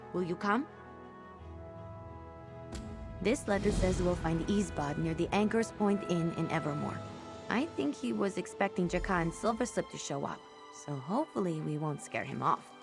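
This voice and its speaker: A woman playing a loyal, warm-hearted young former pickpocket in a video game, speaking in an earnest, heartfelt way. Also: mid-range voice, lightly acted. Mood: worried, resolute. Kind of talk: video game character dialogue.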